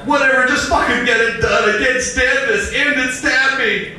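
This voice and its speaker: A man performing an agonized, furious man demanding to be killed, in shrill, profane outbursts on a roomy stage recording. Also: moderate pace, sharp tone, heavily acted. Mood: desperate, furious. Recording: roomy live stage, distant mic, faint noise.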